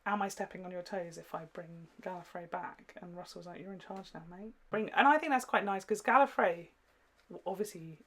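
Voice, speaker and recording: Female reaction host, British, bright and keen, chatty and explanatory delivery. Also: on the low side, fairly quick. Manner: enthusiastic, explains with quoted asides. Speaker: host of a reaction show, the longtime fan. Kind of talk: casual chatty episode review.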